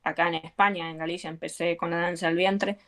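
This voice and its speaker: A woman's voice: lowish voice, little pitch movement, fairly quick, fairly bright tone. An Argentine woman, a belly dance teacher, talking earnestly and encouragingly in an interview, with frequent fillers. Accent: Argentine Spanish. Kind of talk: conversational interview.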